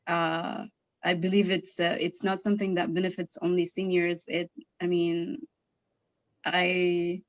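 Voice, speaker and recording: A woman's voice: fairly low pitch, little pitch movement, a little slow, no echo, lo-fi sound. A woman in the audience chatting on a video call, hesitant, with many fillers. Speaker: audience member. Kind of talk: casual chat on a video call.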